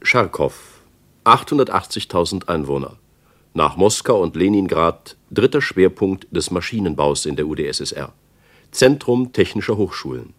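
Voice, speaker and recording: Deep-voiced guy reading facts in a radio play, crisp and flat, a bit off-mic. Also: moderate pace. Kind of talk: factual radio announcing.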